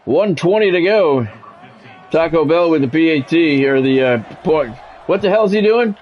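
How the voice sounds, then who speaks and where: A man with a somewhat high voice calls a football video game as he plays it for a stream. He slips between play-by-play and offhand chat with viewers, cursing casually. Faint music plays behind him. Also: animated, moderate pace, dull audio. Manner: salty, swears casually, addresses viewers mid-call.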